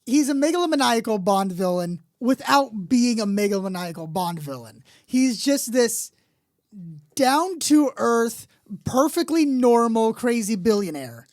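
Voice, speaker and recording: A woman's voice: fairly high pitch, sing-song, unhurried, a little mellow, no room sound, clean studio sound. A woman co-hosting a movie podcast, chatting casually with lots of restarts and fillers. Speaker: podcast co-host.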